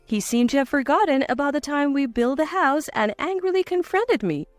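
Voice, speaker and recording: A woman's voice: high voice, lively intonation, a little fast, no echo, soft background music. A woman reading a scripted first-person dramatic story as narrator.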